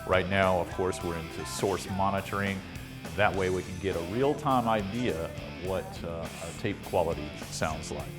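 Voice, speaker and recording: Low-voiced man casually demonstrating gear. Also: normal speed, over loud music. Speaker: host of electronics repair videos. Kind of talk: casual product demonstration talk.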